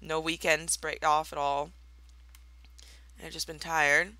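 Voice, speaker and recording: Very bright, playfully theatrical young woman. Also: sits low, little pitch movement, slow, no echo. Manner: playful, mock-villainous, theatrical. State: slightly raspy, just out of bed. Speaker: Minecraft let's player. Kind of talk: casual gameplay commentary.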